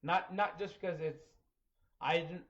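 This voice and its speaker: A man in his twenties in casual chat, talking in a halting, reflective, self-deprecating way. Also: fairly high pitch, fairly even intonation, a bit bright. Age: 20s. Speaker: podcast host, former wrestler. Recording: dull lo-fi sound.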